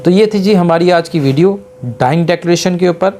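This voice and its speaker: Mellow-voiced Pakistani man lecturing on law. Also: high voice. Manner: reads English quotations slowly and haltingly, then explains. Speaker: advocate giving a law lecture. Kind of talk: explanatory legal lesson mixing in English legal passages read aloud. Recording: noticeable background noise and hum.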